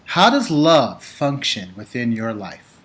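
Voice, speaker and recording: A man hosting an interview, asking numbered questions and reacting with enthusiastic, expressive exclamations. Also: mid-range voice, takes it slowly. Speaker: interview host.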